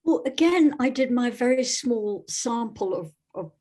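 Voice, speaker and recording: A woman from Scotland giving conversational interview answers as a guest, in a measured, wry way with a husky voice. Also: a little high, animated, a little mellow. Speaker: guest novelist.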